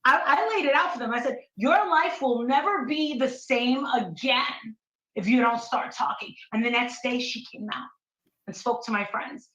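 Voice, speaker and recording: A woman talks informally in a combative, defiant way. Her voice is high and sharp. The recording is echoey and slightly muffled. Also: normal speed. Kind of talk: informal spoken remarks in a played clip. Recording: dull, echoey clip audio.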